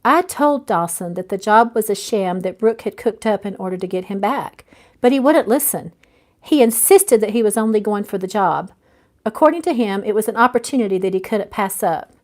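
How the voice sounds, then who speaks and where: A woman's voice: mid-range voice, lively intonation, normal speed, darkish tone, natural voice. A woman voicing a guarded, heartbroken businesswoman in a romance novel, confiding and rueful. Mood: bitter, hurt. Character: weary, heartbroken businesswoman, guarded about lost love.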